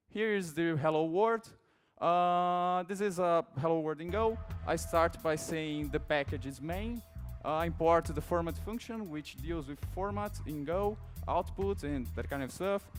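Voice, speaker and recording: A young man from Brazil with a Brazilian Portuguese accent, giving a relaxed conference talk on a big stage, with many fillers and restarts. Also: a little high. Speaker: conference speaker. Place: large dark auditorium stage.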